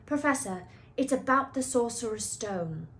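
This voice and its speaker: A woman's voice: fairly high pitch, animated, a bit bright, slight background noise, pitched above the performer's own voice. A woman voicing an earnest, inquisitive schoolboy with an insistent manner, reading a children's book aloud. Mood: frantic. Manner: insistent, pressing, halting when nervous.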